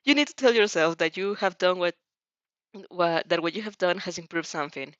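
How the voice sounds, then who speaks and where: A woman's voice: on the low side, fairly quick, no echo, lo-fi sound. A woman reading a story aloud expressively as an amateur, often stumbling and rereading phrases. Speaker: fanfiction audiobook reader.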